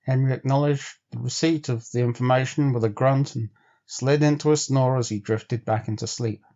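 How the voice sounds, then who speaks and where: A man reading a dramatic adventure story as a measured, theatrical storyteller. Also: medium pitch, steady tone, dark tone, muffled recording. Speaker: storyteller, actor. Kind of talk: dramatic audiobook narration.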